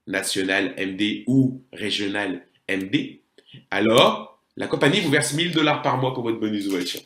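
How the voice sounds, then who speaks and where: A French man delivers a marketing presentation in a roomy, reverberant recording. His voice sits at an average pitch. He explains things step by step with salesy emphasis and checks in with rhetorical questions. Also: fairly bright tone, a little hiss or hum.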